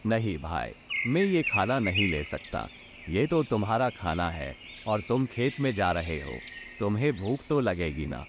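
A man playing a poor, hungry, meek man in an animated moral story, in a plaintive, hesitant way. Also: on the low side, a little slow. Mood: reluctant. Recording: loud noise behind the voice.

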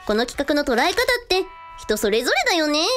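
A woman's voice: sits high, big pitch swings, fast talker, over music. A woman playing a quirky, teasing young woman in a radio drama, with quaint mannered phrasing. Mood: musing. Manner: quaint mannered sentence endings.

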